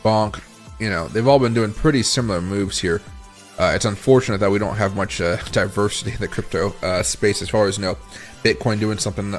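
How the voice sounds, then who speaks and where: A tired man with a raspy voice hosting a casual crypto livestream, thinking aloud as he reads charts and answers chat. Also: on the low side. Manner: rambling, thinks aloud while scrolling charts and reading chat.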